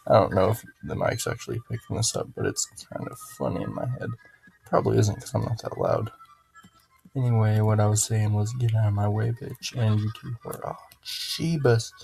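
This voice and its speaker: Gravelly, sweary male gamer, swinging pitch. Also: big pitch swings, normal speed, darkish tone, low-quality recording. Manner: rambling, sweary, muttering to himself. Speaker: gaming video host. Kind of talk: casual gameplay commentary. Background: video game music.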